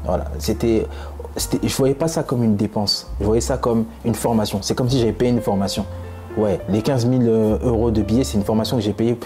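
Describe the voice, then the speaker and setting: Low mellow-voiced French man vlogging. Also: fairly even intonation, fairly quick, soft background music. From France. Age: young adult. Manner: conversational, emphatic, frequent fillers and repeats. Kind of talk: casual talk to camera.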